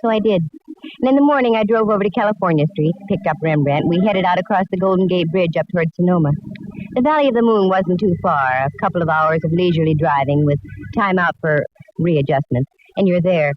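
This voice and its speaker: A woman's voice: fairly low pitch, fast talker, close to an everyday voice. Actress as wry, breezy 1940s radio woman detective. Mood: matter-of-fact narration. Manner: wisecracking, breezy. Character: wry, plucky young woman detective narrating her case. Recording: dull, noisy 1940s radio recording.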